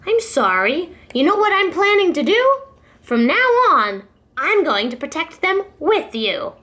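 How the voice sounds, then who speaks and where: A woman's voice: very high-pitched, big pitch swings, measured pace, sharp tone, voice pushed up, exaggerated voice. A woman voicing a big kangaroo in a children's storybook, humbled and protective. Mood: humbled, resolved. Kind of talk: children's storybook character reading.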